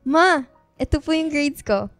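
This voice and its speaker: An actress playing an affectionate, dutiful college-age daughter in a radio drama. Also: female voice, high voice, lively intonation, unhurried, fairly bright tone, no echo, clean studio sound, exaggerated voice. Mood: cheerful. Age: young adult. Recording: music behind the voice.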